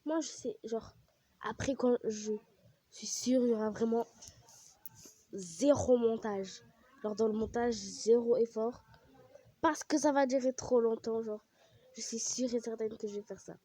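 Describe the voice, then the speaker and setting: A woman's voice: a little high, measured pace, pauses often, mellow, no room sound. A young woman with a cold chats casually and rambles with many fillers while she plays a game. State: has a cold, coughing. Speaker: gaming vlogger.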